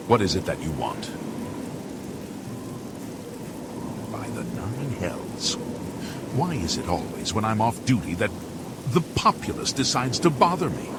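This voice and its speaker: A man's voice: rumbling low, gravelly, full character voice. A man voicing a put-upon, irritable imperial registrar in a fantasy video game, grumbling in formal officialese. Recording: loud rainstorm noise over voice.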